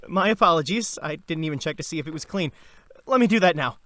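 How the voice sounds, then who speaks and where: A man playing a nervous, overly polite young male adventurer in an audio drama, expressive and given to talking to himself. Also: fairly high pitch, fairly quick, no room sound. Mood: flustered, apologetic.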